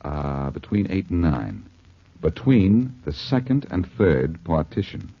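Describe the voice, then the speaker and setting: Muffled old-radio sound, American male private sleuth, gravelly, very animated, glib, teasing, cool-headed. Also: sits low, takes it slowly, background noise, full character voice. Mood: feigned casualness. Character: wisecracking, cool-headed male amateur detective accused of murder. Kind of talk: 1940s radio detective acting. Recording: dull 1940s radio broadcast recording.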